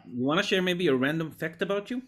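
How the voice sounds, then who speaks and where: A man's voice: a little high, lively intonation, quick pace, fairly bright tone. A man hosting an interview in a casual, conversational way. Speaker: interview host. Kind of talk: casual chat.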